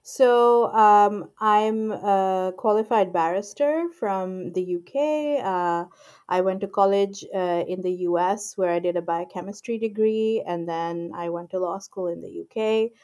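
A woman in her forties from Pakistan, calmly explaining her work as a guest in an interview with frequent ums and you-knows. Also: medium pitch, unhurried. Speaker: guest, IP lawyer. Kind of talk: casual interview talk.

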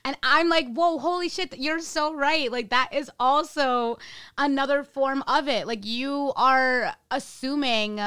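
A woman hosting a casual chat, blunt and expressive, swearing freely. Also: high-pitched, unhurried.

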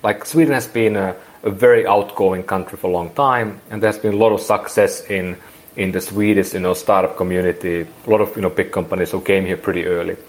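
Man, Finnish accent, 40s, lowish pitch, rambling, casual interview guest. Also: fairly even intonation, fairly quick. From Finland. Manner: rambling, many restarts and fillers.

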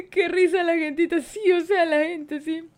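A woman's voice: extremely high pitch, fairly even intonation, a little slow, darkish tone. A woman hosting a live-streamed podcast, chatty and playful as she talks straight to her viewers. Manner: playful, chatty, reads viewer comments aloud. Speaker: podcast host.

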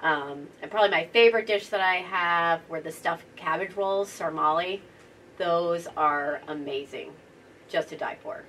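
A woman in casual conversation, enthusiastic, pausing often on ums. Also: on the low side, fairly bright tone. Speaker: guest. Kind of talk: casual chat. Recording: distant mic, faint background noise.

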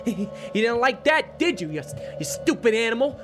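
Man playing a panicked, high-pitched, stammering guy. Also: sing-song, measured pace, over music, exaggerated voice. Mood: frightened, defiant. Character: panicked, belligerent man cornered by an eerie creature. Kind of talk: audio horror drama acting.

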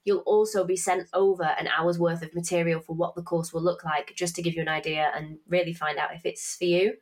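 A young woman with a fairly low voice and a blocked, snuffly nose from hay fever. She hosts her podcast in a loose, chatty way, cursing casually and poking fun at herself. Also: level tone, a little fast. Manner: chatty, swearing, self-mocking. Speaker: podcast host and finance tutor. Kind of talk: casual podcast chat with promotion.

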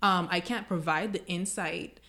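A woman from the Bahamas, an educator who works with international students, speaking in a measured, thoughtful way on a podcast. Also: fairly low pitch, steady tone, pushed and bright. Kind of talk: casual podcast discussion.

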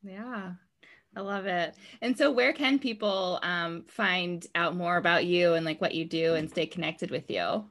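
Woman hosting a podcast, casual chat, slightly lowish voice. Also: a little slow, a bit bright. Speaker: podcast host.

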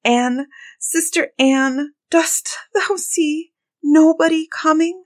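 A woman's voice: medium pitch, lively intonation, normal speed, lightly acted. A woman voicing a frightened, desperate young wife in a fairy tale, pleading and calling plaintively. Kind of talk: dramatized fairy-tale storybook reading.

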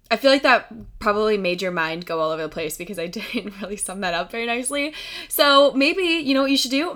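A young woman hosting a casual career podcast. She rambles in a bubbly, chatty way and laughs often, with a voice of ordinary pitch. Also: fairly bright tone. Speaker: podcast host.

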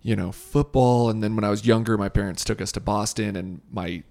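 A man's voice: fairly low pitch, a little fast, vocal fry, darkish tone. A man hosting a podcast in casual chat, friendly, often chiming in with "yeah". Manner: friendly, backchannels constantly with "yeah". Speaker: podcast host.

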